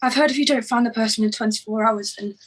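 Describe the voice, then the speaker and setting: A woman acting a shaken, grieving young crime witness in a screenplay table read, with halting delivery. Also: fairly high pitch, lightly acted. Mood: urgent, pleading.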